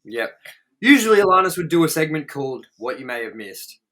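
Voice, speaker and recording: A man's voice: on the high side, big pitch swings, a little fast, pushed and bright. A man chatting casually as co-host of a film review show, blunt and sweary, repeating words for emphasis.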